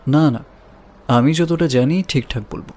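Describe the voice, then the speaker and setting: An actor playing a wary, evasive roadside shopkeeper in an audio drama, defensive and colloquial. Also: male voice, on the high side, unhurried, a little mellow. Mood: nervous. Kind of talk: audio story drama acting. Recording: music bed behind voice.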